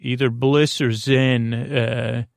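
A man's voice: medium pitch, flat delivery, takes it slowly, creaky, no room sound, studio quality. A man hosting a silly bedtime podcast in a rambling monologue, hesitant and often trailing off mid-thought. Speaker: podcast host.

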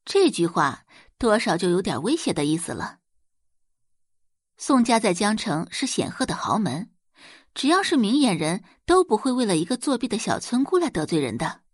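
Woman, audiobook narrator, dramatic scripted delivery, lively intonation, husky tone, dry recording. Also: medium pitch, moderate pace, dry recording.

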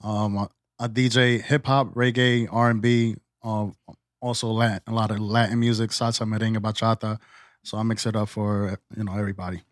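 An easygoing man from the Bronx talks in a lowish, steady voice. He trails off into ums and light laughs. The sound is close and dry. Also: brisk, no echo. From the Bronx, New York. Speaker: DJ guest. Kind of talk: casual podcast interview.

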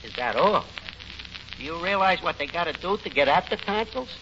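A grandiose, self-pitying barkeep with a New York accent and a high-pitched, animated delivery. He talks like a lowbrow wiseguy making melodramatic speeches in a 1940s radio comedy. The recording is dull and heavily noisy. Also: normal speed. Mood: indignant. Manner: melodramatic, malaprop-prone, pompous.

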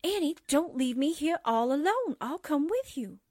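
An actress playing a jumpy, wisecracking American newspaperwoman sidekick in a 1940s radio drama, comically frightened with drawn-out exclamations. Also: high-pitched, big pitch swings, a little mellow, dry recording, studio quality. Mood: panicky.

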